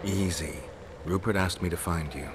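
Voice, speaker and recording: An actor playing a stern, stoic monster hunter in a fantasy video game, gruff, blunt and controlled. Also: male voice, sits low, moderate pace, background noise, lightly acted. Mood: stern, pressing.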